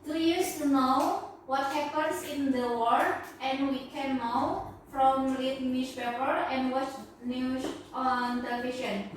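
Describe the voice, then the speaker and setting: A woman student speaks slowly and carefully in a high voice, as if reading in class. The recording is distant with strong room echo. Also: steady tone. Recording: echoey room from afar, faint noise.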